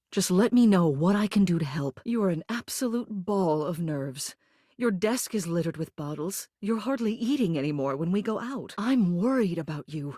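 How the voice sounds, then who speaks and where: A woman's voice: fairly low pitch, mellow, breathy edge, dry recording, clean studio sound. A woman voicing a dignified, protective mayor in a video game, with formal, genteel diction and an authoritative manner. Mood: concerned, gentle. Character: dignified, protective woman mayor, an old living household object. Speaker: voice actor in a dating sim game. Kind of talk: polished video game character acting.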